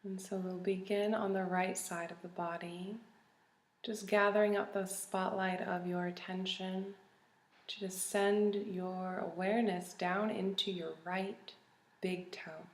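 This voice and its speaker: A woman leading a guided body scan meditation in a calm, gentle, soothing manner with long pauses. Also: medium pitch. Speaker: meditation teacher. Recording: roomy, echoey recording.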